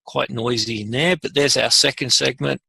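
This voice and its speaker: Gravelly, bright-voiced man presenting a tutorial. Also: medium pitch, fairly even intonation, moderate pace, dry recording. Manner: informal, muttering asides while working. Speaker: webinar presenter. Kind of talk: unscripted software tutorial narration.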